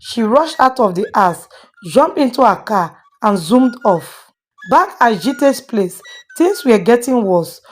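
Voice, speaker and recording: A woman's voice: a little high, sing-song, slow pace. A woman storyteller hosting and dramatically narrating a moral tale. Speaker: storyteller and channel host.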